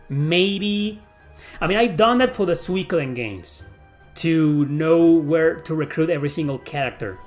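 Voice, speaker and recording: Profane Mexican-accented man answering questions. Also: a little high. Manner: blunt and profane, rambling with fillers. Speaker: YouTube host answering viewer questions. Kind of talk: casual Q&A chat.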